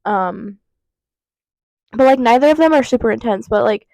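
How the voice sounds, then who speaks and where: A young woman talking casually to her viewers while congested with a cold, her nose audibly stuffed. Her voice sits a little high, and she drifts from one tangent to the next with plenty of fillers. The recording is close and dry, with almost no room sound. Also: expressive, normal speed, a little mellow, no echo. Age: 20s. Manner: rambling, lots of fillers and tangents. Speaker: vlogger. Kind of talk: casual chat to camera. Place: vape shop counter.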